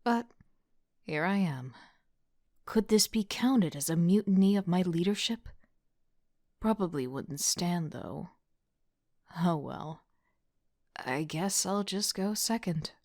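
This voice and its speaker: A woman's voice: lowish voice, lively intonation, slow, long pauses, darkish tone. A woman playing a wry, casual young student team leader who narrates an audio drama in the first person with sardonic asides. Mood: wry, relaxed. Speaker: voice actor.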